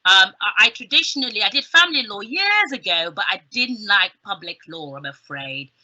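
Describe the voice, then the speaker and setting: A woman's voice: medium pitch, big pitch swings, moderate pace, sharp tone. A middle-aged woman with a southern English accent giving an informal, chatty careers talk as a guest speaker, with emphatic delivery and humorous asides. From southern England. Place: small indoor room with bookshelves, on a video call. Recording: slightly dull video-call sound.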